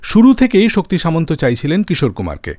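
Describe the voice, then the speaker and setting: A man hosting a radio show, telling a story in scripted narration and dropping his voice low on key names for drama. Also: wildly swooping pitch, fast, a little mellow, no room sound, studio quality.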